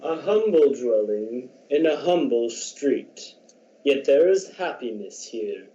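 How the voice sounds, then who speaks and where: A grand, jolly giant of a spirit played by a man speaks slowly and mellowly, as in a radio play. The voice echoes in a roomy, dull recording. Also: mid-range voice, sing-song, warm tone. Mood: solemn, kindly. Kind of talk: amateur radio drama acting.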